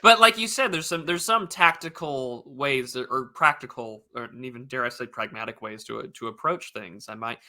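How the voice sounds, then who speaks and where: A man's voice: mid-range voice, normal speed, few pauses, sharp tone. A man hosting a podcast, thinking aloud in a casual chat with restarts and wry asides. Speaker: podcast host.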